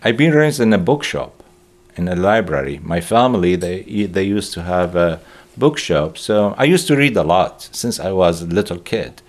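Man, 60s, from Iraq, low voice, earnest, slightly broken English. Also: animated, normal speed. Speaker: interviewee, father. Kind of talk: interview. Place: studio against a grey backdrop.

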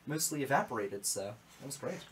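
A man's voice: medium pitch, lively intonation, fairly quick. Rambling young man hosting radio. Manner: rambling, repeats words when starting sentences. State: slightly strained voice after nearly losing it. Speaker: radio show host. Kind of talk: casual radio chat. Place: small wood-paneled radio studio. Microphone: studio mic on boom arm, with headphones.

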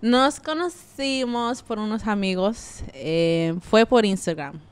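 Soft-spoken young woman from California. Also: medium pitch, slow, clean tone. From California, USA. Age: 20s. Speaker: guest. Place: small indoor room. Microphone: handheld mic.

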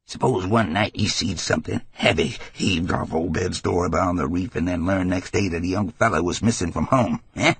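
Gravelly old New England codger. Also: male voice, sits low, normal speed, few pauses, voice pushed up. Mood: manic, taunting. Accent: rural New England. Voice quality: gravelly, husky old man's rasp. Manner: rambling folksy dialect, cackling and fearful. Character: hysterical, frightened old New England drunkard telling dark secrets. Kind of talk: dramatized audiobook character voice.

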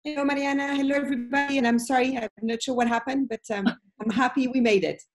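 A woman's voice: sits high, fairly even intonation, fast. A woman in her late forties with a French accent, a professor giving conversational interview answers on a video call from home. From France. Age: late 40s. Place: indoor room at home. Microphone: video call, computer microphone.

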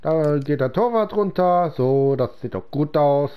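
A man with a slight cold giving casual commentary on his own video game match, talking slowly with many pauses. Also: fairly high pitch, expressive, smooth, muffled recording. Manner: slow, halting talk with many pauses and fillers. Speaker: gaming channel host.

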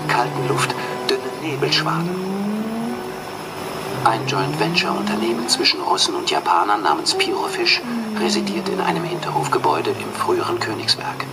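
A man playing a solemn nature documentary announcer, reading formal scripted narration in a measured manner. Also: a little high, lively intonation, heavy background noise, natural voice. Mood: grave.

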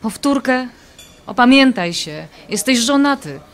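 A woman plays an indignant, desperate married woman in an audio drama. Her fairly high voice rises and falls sharply as she defends herself against an ex-lover in exasperation. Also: animated, measured pace, a little hiss or hum. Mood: distressed, angry. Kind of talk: audio drama acting, dialogue.

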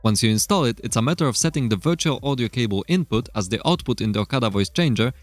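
A man's voice: mid-range voice, animated, quick pace, no room sound, faint music behind. A man narrating a scripted tutorial in an explanatory, step-by-step way, recorded as a close-miked voice-over. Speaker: tutorial presenter. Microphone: close mic voice-over.

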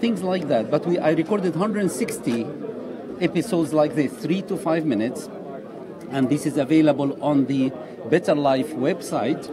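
Homme à l'accent égyptien, voix un peu aiguë. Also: male voice, a little high, moderate pace. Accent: Egyptian Arabic. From Egypt. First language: Arabic. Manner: eager, affirming, slightly broken English. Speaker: guest. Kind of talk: interview answers. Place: busy indoor conference lobby. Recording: crowd chatter behind.

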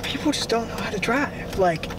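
A man's voice: extremely high pitch, animated, moderate pace. A young man chatting casually to camera as the host of a prank vlog. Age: young adult. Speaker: vlogger and prankster. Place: outdoor parking lot and inside car. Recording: loud car and outdoor noise.

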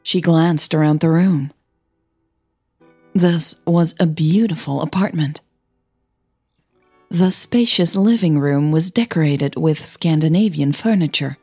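A woman's voice: deep voice, slow, long pauses, a little mellow, slightly rough, faint music behind. A woman narrating a scripted audio story as a podcast host.

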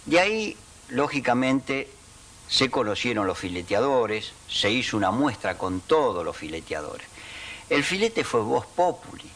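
A man's voice: mid-range voice, gravelly, a bit bright, recorded from a distance, a little hiss or hum. An older man with a Rioplatense accent recounting his trade as a sign painter in an interview, with a handheld mic. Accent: Rioplatense Spanish. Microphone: handheld mic held by interviewer.

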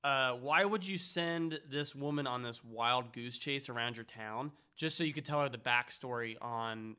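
A man co-hosting a film podcast, thinking aloud in a relaxed way with plenty of fillers. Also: mid-range voice, moderate pace, clean tone. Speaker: podcast co-host. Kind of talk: casual film discussion.

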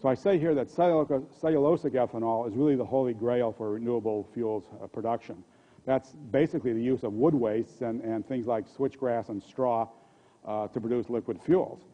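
An older man with an American accent gives a conference keynote at a podium microphone, speaking haltingly and often repeating words and restarting. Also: mid-range voice. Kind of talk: conference presentation. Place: conference hall stage at a podium. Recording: dull, muffled lo-fi audio.

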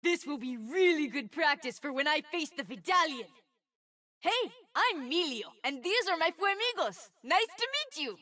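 A woman playing an eager, sweet, boastful twelve-year-old boy fire mage in a video game, with an excitable, earnest, childlike manner. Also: high voice, moderate pace, recorded from a distance, heavily acted. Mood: eager, friendly. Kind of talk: video game character voice lines.

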